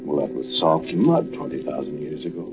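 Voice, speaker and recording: An actor playing a brooding, jealous murderer, narrating with deliberate intensity in a 1940s radio drama. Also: male voice, extremely low pitch, animated, gravelly, full character voice. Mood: musing. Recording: muffled 1940s radio recording, loud music behind.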